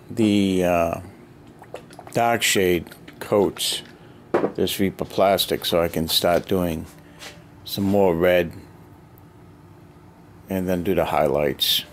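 A man's voice: low voice, steady tone, slow pace, pauses often, a little hiss or hum. A man in a cluttered basement hobby room, casually thinking aloud with fillers while he paints a model, picked up by a camera mic near his hands.

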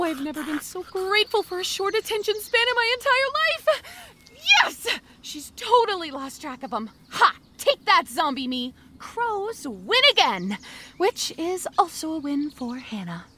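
A woman's voice: extremely high pitch, big pitch swings, measured pace, background noise, exaggerated voice. A woman playing the chatty, anxious inner voice of an undead young woman, confiding in the listener in a rambling audio drama monologue. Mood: excited, triumphant.

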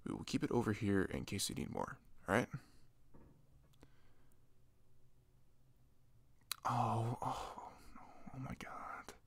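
A man playing a shy, sweet, flustered best friend in an intimate ASMR roleplay, hesitant, stammering and soft-spoken. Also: fairly low pitch, moderate pace, creaky, breathy edge. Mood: caring. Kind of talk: ASMR roleplay, intimate one-sided dialogue.

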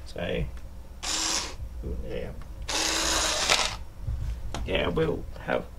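A man with a croaky voice from a sore throat, chatting casually and self-deprecatingly with many ums while he works in a small room. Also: drawn-out words. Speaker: model railway hobbyist vlogger. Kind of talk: casual narration while working. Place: indoors in a small room, bedroom layout. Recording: noisy home camera recording.

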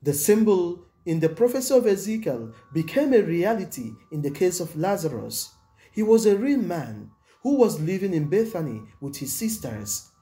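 Mellow-voiced priest with lively intonation. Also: male voice, high-pitched. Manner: measured, solemn, formal delivery. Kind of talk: scripted homily. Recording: music behind the voice. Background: background music.

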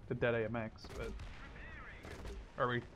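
A man's voice: medium pitch, flat delivery, a little slow. A man casually commenting on a game as he plays with a friend, joking and swearing. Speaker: gamer playing with a friend. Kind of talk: casual gaming chat, commentary. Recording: clear background game noise.

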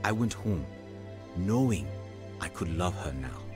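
An earnest male African evangelist of almost forty in a radio drama. His voice sits on the low side, with music under it. He speaks in a measured, confessional way, like someone unsure of himself but sincere. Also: expressive. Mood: pained, confessional. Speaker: narrator and lead character in an audio drama. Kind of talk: dramatised first-person testimony, audio drama acting.

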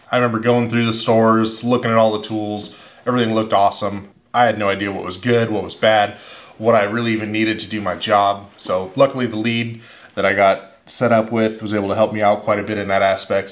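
A man's voice: fairly low pitch, a bit bright. A man in his thirties, an HVAC technician, casually going over his everyday tools in a workshop, rambling and repeating words as he thinks. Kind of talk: casual tool review talk to camera. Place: indoor workshop, at a wooden table.

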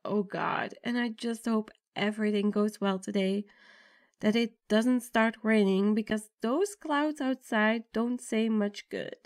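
A woman's voice: on the high side, unhurried, dry recording, clean studio sound. A woman playing a nervous, affectionate young bride-to-be in an ASMR girlfriend roleplay, with a tender, intimate delivery. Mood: nervous, excited. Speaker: roleplay audio performer.

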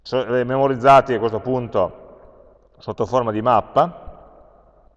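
An Italian man teaching a programming class, thinking aloud as he types code, with frequent pauses and fillers. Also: mid-range voice, fairly even intonation. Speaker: university lecturer. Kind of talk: live-coding programming lesson. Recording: dull lo-fi lecture capture with long hall reverb.

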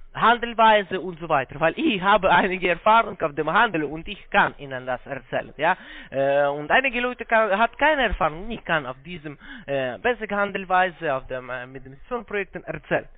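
A non-native speaker, a man with a foreign accent and halting, broken grammar, giving a phone sales pitch. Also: lively intonation, no room sound. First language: not German. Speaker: call-centre investment salesman. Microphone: telephone. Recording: muffled band-limited phone line.

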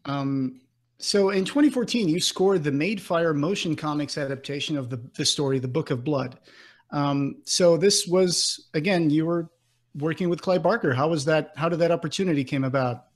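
A man's voice: fairly high pitch, moderate pace. A man in his thirties co-hosting a podcast interview, chatting enthusiastically into a desk microphone at home. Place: room at home, indoors. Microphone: desk microphone with pop filter, close.

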